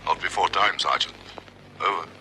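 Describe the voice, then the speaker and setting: A man's voice: sits low, moderate pace, sharp tone. A South African man playing a dry, impatient police constable calling in on a car radio in a radio drama. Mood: dry, alert. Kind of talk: old radio drama acting. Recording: noisy vintage radio drama recording.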